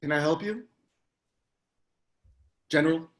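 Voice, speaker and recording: A man's voice: on the high side, slow pace. A man playing a rugged, laid-back man in his thirties in a screenplay table read, wry and casual.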